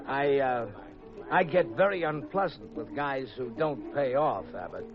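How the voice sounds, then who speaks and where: A man acting a menacing, falsely polite gambling boss in a 1950s radio mystery, terse and husky. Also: mid-range voice, lively intonation, unhurried, music in the background. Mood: smooth menace. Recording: muffled old radio recording.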